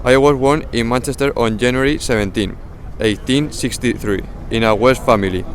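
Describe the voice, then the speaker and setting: A young man with a medium-pitched voice recites a scripted biography. He is outdoors in a city, with faint traffic noise around him. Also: steady tone, normal speed. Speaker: student presenting a history project. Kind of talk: scripted first-person recitation.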